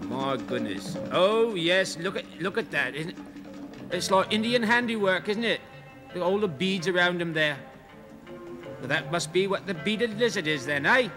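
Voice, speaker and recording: A man's voice: high voice, expressive, normal speed, over music. An actor playing a jolly, chatty male zoo host who gushes to the audience and coos at the animals. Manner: chummy, gushing, talks to the audience and animals. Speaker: zoo show host character. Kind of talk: comedy sketch acting.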